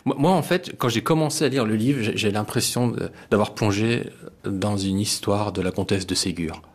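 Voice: medium pitch, normal speed, breathy edge. An interviewer chatting casually about a book, with an informal, hedging way of putting questions.

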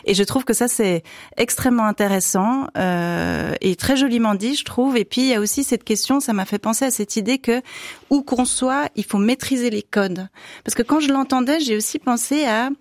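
A woman guest on a radio talk show speaks thoughtfully and expressively. Her voice is somewhat high, and the studio sound is dry and close. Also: moderate pace, dry recording. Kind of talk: radio discussion.

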